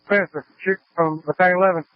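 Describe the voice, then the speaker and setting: A man's voice: high voice, steady tone, fast talker. A man, a fire officer, reporting in emergency radio traffic over a handheld two-way radio. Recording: lo-fi two-way radio, static and clicks.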